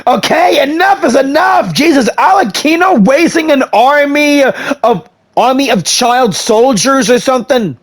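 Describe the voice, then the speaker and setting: A man's voice: extremely high pitch, lively intonation, measured pace. A man playing a story game and reacting with joking, exasperated comments. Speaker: gaming streamer. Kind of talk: let's play reaction commentary. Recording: game audio with faint music.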